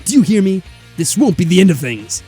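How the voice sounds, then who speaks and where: A man's voice: high voice, very animated, brisk, warm tone, husky, soft background music, pitched above the performer's own voice, exaggerated voice. Man acting a furious, spiteful young kitchen worker. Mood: furious, menacing. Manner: menacing, overdramatic.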